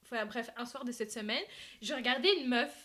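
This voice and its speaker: Bright, animated young woman. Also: on the high side, brisk. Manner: animated, asks questions. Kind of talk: casual chat.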